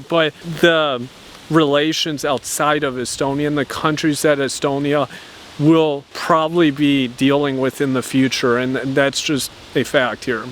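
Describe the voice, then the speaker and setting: A man's voice: fairly high pitch, a bit bright, slight background noise. A man giving his opinion in an outdoor vlog, talking earnestly and reasonably, often restarting and repeating words as he thinks. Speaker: vlogger. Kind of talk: opinion monologue to camera.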